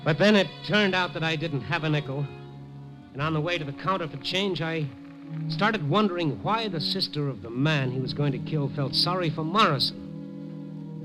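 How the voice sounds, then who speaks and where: An actor playing a hardboiled newspaper reporter who narrates in dry, laconic wisecracks in an old radio drama. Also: male voice, on the high side, close to an everyday voice, rough recording. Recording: old radio broadcast, music bed.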